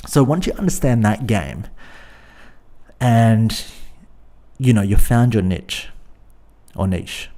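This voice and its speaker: A man's voice: fairly low pitch, animated, slow pace, pauses often, warm tone. A man with an Australian accent hosting a podcast, giving earnest coaching talk and often repeating and restarting words. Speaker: podcast host and fitness business coach. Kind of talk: casual solo podcast talk.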